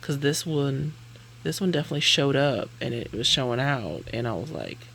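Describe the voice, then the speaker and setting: Woman, beauty vlogger, animated pitch, vocal fry, chatty, rambling, faint background noise. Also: normal speed. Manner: chatty, rambling, self-correcting; laughs between sentences. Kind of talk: casual makeup review chat.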